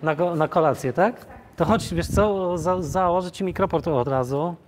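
A man's voice: fairly high pitch, moderate pace, slight background noise. A man hosting in casual, jokey chat, talking informally with slang as he gets guests set up. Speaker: host fitting guests with lapel microphones. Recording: loud music over the voice.

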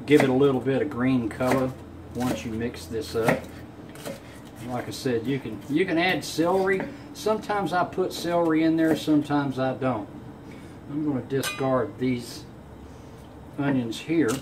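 A man, a home cook casually talking through a recipe in a folksy, unhurried way. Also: mid-range voice. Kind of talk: casual cooking demonstration. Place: home kitchen, indoors. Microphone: camera on counter a short way off. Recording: clear room noise in a kitchen.

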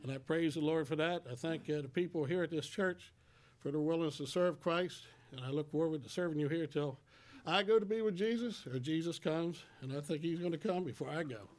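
Older man, higher-pitched, plain folksy talk. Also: fairly quick. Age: 60s. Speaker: church member giving testimony. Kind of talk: personal testimony to a congregation. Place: church sanctuary at a lectern. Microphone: microphone on a stand.

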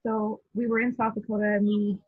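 A young woman speaking as a guest on a video call, relaxed and matter-of-fact. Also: medium pitch, a little fast, breathy edge, no echo. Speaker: guest, shop co-owner. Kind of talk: casual video call interview. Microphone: shared video call. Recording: tinny phone-like call audio.

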